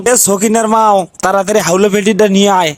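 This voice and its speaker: A man voicing a hot-tempered village father in a comedy cartoon, scolding loudly and blusteringly with a North Bengal accent. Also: high-pitched, flat delivery, quick pace, noisy background, voice pushed up, lightly acted. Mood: furious. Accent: North Bengal Bangladeshi.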